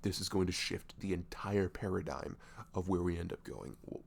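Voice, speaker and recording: Low, gravelly, nervous lovestruck guy acted by a man. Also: darkish tone. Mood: flustered, giddy. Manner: halting, stammering, sighing, breathy laughs, often dropping to whispers. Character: nervous, dorky male best friend confessing his love, tender and goofy. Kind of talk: roleplay ASMR audio drama, intimate and conversational.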